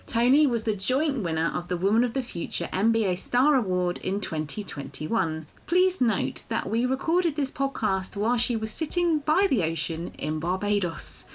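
Brisk woman reading a podcast intro. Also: medium pitch, very animated. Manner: brisk, formal, read from script. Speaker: podcast host. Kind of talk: scripted introduction.

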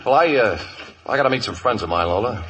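An actor playing a shifty, evasive, self-serving suitor in a 1950s radio western. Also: male voice, on the low side, animated, quick pace, noisy background, lightly acted. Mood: smooth, evasive. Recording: vintage radio broadcast with music.

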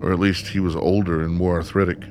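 Male actor as sardonic, foul-mouthed son, radio play, low register, faintly noisy track. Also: little pitch movement, fast, close to an everyday voice. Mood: bitter. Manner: blunt, sardonic. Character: bitter, sarcastic, foul-mouthed son of an ailing father.

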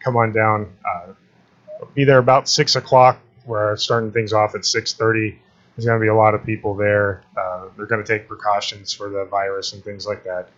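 Low-voiced relaxed man, muffled audio. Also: moderate pace. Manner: relaxed, filler-heavy. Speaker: filmmaker guest. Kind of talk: casual promotion.

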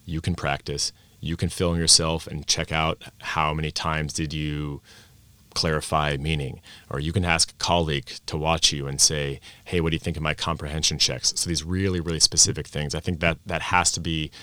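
A man's voice: extremely low pitch, little pitch movement, moderate pace, bright, forceful tone, no echo. A man who grew up in Austria, a language teacher and presenter, talking reflectively with frequent restarts. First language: English and German bilingual. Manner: reflective, many restarts and self-corrections. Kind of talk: conversational podcast interview.

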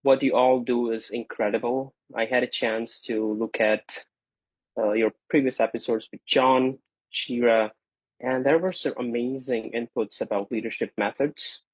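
A man in his twenties whose first language is Gujarati, a podcast guest giving earnest, grateful answers with frequent pauses and repeats. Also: medium pitch, fairly even intonation, husky, no echo, rough recording. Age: 20s. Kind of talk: conversational interview answers.

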